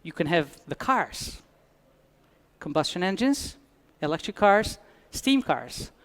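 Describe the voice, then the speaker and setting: A man's voice: sits high, big pitch swings, slow pace, fairly bright tone. A man from Albania, giving a conference talk with dry humor and frequent restarts. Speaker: conference speaker. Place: conference hall.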